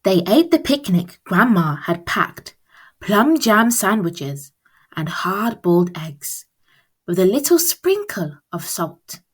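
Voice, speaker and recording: A woman reading a children's picture book aloud as storyteller, with expressive, deliberate phrasing. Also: medium pitch, slightly rough.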